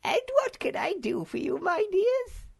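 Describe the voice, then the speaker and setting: A woman's voice: very high voice, sing-song, measured pace, vocal fry, voice pushed up, exaggerated voice. A woman voicing a kindly old woman shopkeeper who fusses over children in a storybook reading. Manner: warm, fussing over children.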